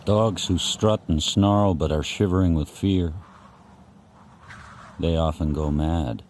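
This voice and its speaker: A man voicing a grave, world-weary sled dog who narrates a radio drama slowly and ominously, scornful of humans. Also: sits low, steady tone, darkish tone, a little hiss or hum. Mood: grave, brooding.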